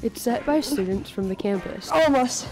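A woman vlogging casually from a climbing gym, excited and often laughing, recorded on a camera. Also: on the high side, moderate pace, over music, rough recording. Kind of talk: casual vlog chat while climbing. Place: indoor climbing gym in a barn.

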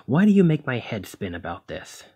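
A man playing a protective, conflicted demigod hero in a one-sided ASMR roleplay, speaking emotively and haltingly to the listener. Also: mid-range voice, big pitch swings, darkish tone, slightly rough. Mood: exasperated, caring. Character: brawny male demigod hero, protective, conflicted, exasperated lover.

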